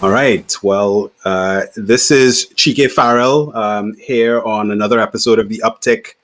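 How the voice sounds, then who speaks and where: A man's voice: on the low side, measured pace, a bit bright. A man hosting an interview podcast who reads a sponsor message and then relaxes into conversation, often repeating words as he starts his questions. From Caribbean. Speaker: podcast host.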